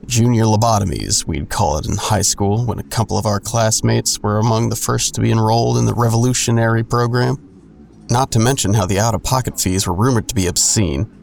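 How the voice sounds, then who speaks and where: A man's voice: on the low side, vocal fry, a little mellow, slight background noise. An actor playing a jaded man who narrates his own story in an audio drama with wry, sardonic delivery. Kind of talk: first-person noir-like audio drama narration.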